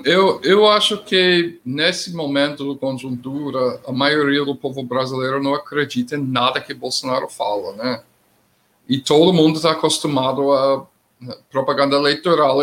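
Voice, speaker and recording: A man's voice: a little high, fairly bright tone. Middle-aged man with non-native Portuguese. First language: not Portuguese. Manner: plain-spoken, with halting grammar and restarts. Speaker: guest commentator. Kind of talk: live political discussion.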